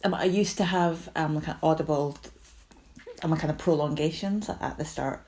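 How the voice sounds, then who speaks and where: A woman's voice: low voice, moderate pace, a little mellow. A woman describing her stutter, speaking with silent blocks and frequent "um" and "like" fillers. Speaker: community member sharing experience. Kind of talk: personal testimony to camera.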